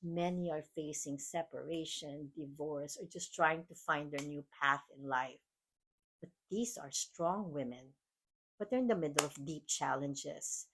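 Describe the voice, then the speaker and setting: A woman's voice: low-pitched, a little slow, a bit bright, a little hiss or hum, rough recording. A middle-aged woman from the Philippines with a Filipino accent, hosting a podcast and speaking earnestly about self-love, with frequent "like" and restarts. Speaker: podcast host. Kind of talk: personal motivational talk.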